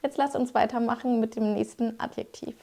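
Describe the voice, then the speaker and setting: A young woman with a high-pitched voice gives a scripted language lesson. She explains each word clearly and speaks directly to her viewers, as a teacher would. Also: fairly even intonation, brisk, dark tone. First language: German. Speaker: German teacher.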